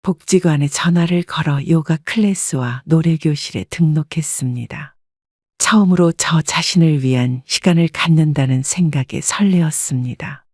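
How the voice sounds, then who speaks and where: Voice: mid-range voice, normal speed, darkish tone, no echo, studio quality. A narrator reading a scripted first-person story in a composed storytelling manner.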